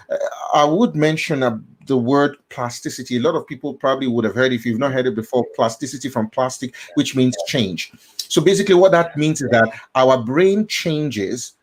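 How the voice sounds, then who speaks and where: A middle-aged man with a Nigerian accent, hosting a talk and explaining ideas about the brain, repeating key phrases and checking in with his listener. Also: medium pitch. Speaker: host.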